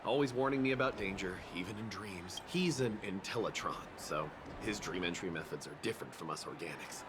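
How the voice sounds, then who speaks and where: A man's voice: deep voice, fairly quick, noisy background, natural voice. A man playing an insecure, bitter young son in a video game, emotional and sardonic. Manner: emotional, self-pitying, sardonic. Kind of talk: video game character dialogue. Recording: loud music behind. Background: loud game music.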